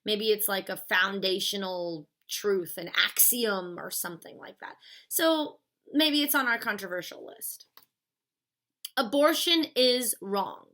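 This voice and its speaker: A woman's voice: mid-range voice, measured pace, bright, forceful tone. A woman in her thirties explaining a philosophy concept in a casual, chatty classroom way, with "like" and "stuff" as fillers. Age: 30s. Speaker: philosophy lecturer.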